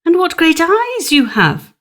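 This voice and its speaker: A woman's voice: very high voice, sing-song, measured pace, a bit bright, voice pushed up, exaggerated voice. A woman voicing an innocent, polite little girl in a storybook, sweet and full of naive wonder. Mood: amazed.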